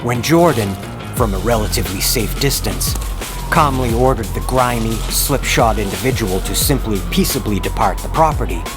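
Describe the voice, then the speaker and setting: A man's voice: lowish voice, animated, moderate pace. A man giving a grave, theatrical scripted narration in the style of a true-crime horror tale. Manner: grave, florid, theatrical delivery. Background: music bed.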